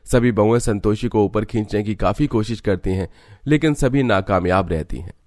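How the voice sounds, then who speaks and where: Guy doing cartoon storytime narration, kinda deep, very dry sound. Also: moderate pace, darkish tone, dry recording.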